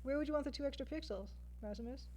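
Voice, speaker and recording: Woman, Canadian accent, fairly high voice, casual livestream chat, chatty, thinking aloud. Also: quick pace, mellow. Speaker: livestreamer, game artist. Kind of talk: casual chat with stream viewers while drawing.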